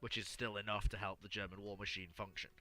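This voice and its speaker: A man's voice: lowish voice, flat delivery, fast talker, pushed and bright, faint music behind. A man hosting a casual history discussion, often stammering and repeating words as he thinks aloud. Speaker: livestream host answering listener questions.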